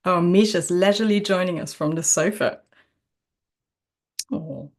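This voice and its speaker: A woman hosting an informal online journal club over a video call, explaining a paper in a hesitant way and pausing often to find her words. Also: fairly low pitch. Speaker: journal club presenter and host. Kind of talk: informal online seminar presentation.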